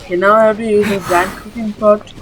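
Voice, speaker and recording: A woman's voice: mid-range voice, fairly even intonation, fast talker, background noise. A woman hosting a gaming video with casual, informal, rambling gameplay commentary, often saying "like". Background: game music.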